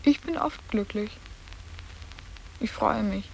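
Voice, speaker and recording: A woman's voice: mid-range voice, slow, creaky, a little hiss or hum. An actress playing a sarcastic, defiant schoolgirl at a boarding school in a literary radio drama, with pert, precise delivery. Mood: earnest, insistent. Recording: echoing, reverberant hall.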